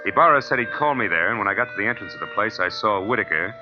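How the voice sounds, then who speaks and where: A low-voiced, hard-boiled male detective, played by an actor, sardonic and blunt. He speaks in clipped 1940s radio noir fashion, on a dull old broadcast recording with music behind. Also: expressive, very fast, natural voice. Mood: wry narration. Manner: clipped, sardonic tough-guy delivery. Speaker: lead actor and narrator. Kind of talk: 1940s radio detective acting, first-person narration.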